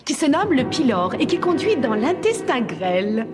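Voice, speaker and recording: An enthusiastic cartoon schoolmistress speaks high and with sweeping intonation. She teaches cheerfully and teases theatrically. The voice rings in a long reverb over music. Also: big pitch swings, normal speed. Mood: upbeat, instructive. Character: enthusiastic adventurous woman schoolteacher guiding children. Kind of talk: dubbed children's cartoon acting.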